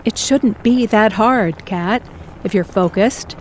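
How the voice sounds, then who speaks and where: An actress playing a measured, insistent middle-aged mother who is a doctor, in an audio drama. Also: mid-range voice, a little mellow, a little hiss or hum, close to an everyday voice, low-quality recording. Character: firm, pushy middle-aged mom, a doctor.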